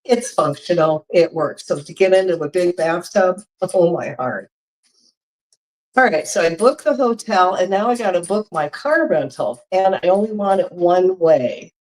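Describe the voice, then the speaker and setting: A woman's voice: on the low side, a little slow, low-quality recording. Congested woman telling a travel story. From Hawaii. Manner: chatty, animated storytelling with frequent laughs. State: congested from a cold. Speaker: vlogger. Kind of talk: casual storytelling to camera.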